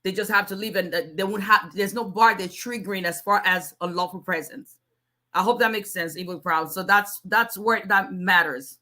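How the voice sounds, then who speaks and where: A woman's voice: medium pitch, normal speed, bright, forceful tone. A woman in her forties from Nigeria, speaking as an immigration attorney answering viewers in a casual live Q&A, with a strong voice and frequent restarts. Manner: strong voice, chatty, with many restarts. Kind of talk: casual livestream Q&A.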